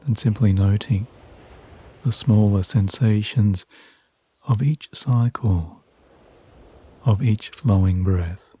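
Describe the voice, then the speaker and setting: A man narrating guided sleep hypnosis in a soothing, hushed, measured way with long pauses between phrases. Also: fairly low pitch, breathy edge.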